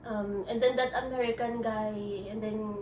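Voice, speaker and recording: A Filipino woman with a Tagalog-native accent, speaking English in a halting, hesitant way with many restarts in a personal interview. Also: on the high side, fairly even intonation, a little mellow, a little hiss or hum. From Philippines. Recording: echoey room, distant mic.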